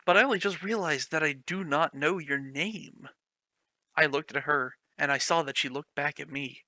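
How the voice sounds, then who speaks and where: A man's voice: a little high, moderate pace, pushed and bright, no echo. A man reading a clumsy fan story aloud, mocking it with sarcastic, swearing commentary. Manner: sarcastic, swears, laughs at the text.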